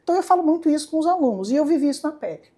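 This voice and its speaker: A middle-aged woman neurologist from southeastern Brazil, guesting on a podcast with a Brazilian Portuguese accent and a lively, playful way of telling her story. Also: high voice, racing. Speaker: neurologist and university professor, guest. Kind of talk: casual interview chat. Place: podcast studio.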